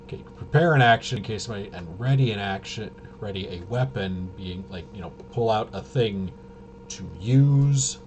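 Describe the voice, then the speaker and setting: A man casually rambling through tabletop game rules, haltingly, often trailing off and correcting himself. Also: fairly low pitch, muffled recording. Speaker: host. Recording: clear steady background noise.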